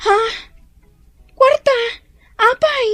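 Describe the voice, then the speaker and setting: A woman's voice: very high-pitched, extremely slow, a bit bright, faint music behind, full character voice. A woman with an Ilocano accent playing a sweet, long-suffering young wife in a comedy drama. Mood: surprised.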